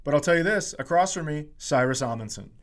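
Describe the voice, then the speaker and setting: Man hosting a casual podcast, slightly high voice. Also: lively intonation, fast talker. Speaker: podcast host.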